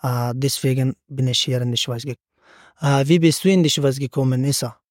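A man's voice: mid-range voice, steady tone, a little fast, a little mellow, no room sound, studio quality. A man, a student guest giving earnest, prepared answers on a school podcast. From Afghanistan. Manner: earnest, reads prepared sentences with some fillers.

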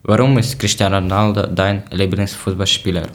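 Ein Mann aus der Ukraine mit Deutsch als Fremdsprache liest als Co-Moderator kurze, vorbereitete Sätze gemessen vor. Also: male voice, deep voice, flat delivery. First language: not German. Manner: plain, measured reading with short sentences. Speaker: podcast co-host. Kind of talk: reading prepared talk in learner German.

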